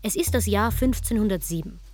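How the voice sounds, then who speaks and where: A woman reading scripted dramatic storytelling narration in a measured way with emphatic pauses. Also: medium pitch, darkish tone. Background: music.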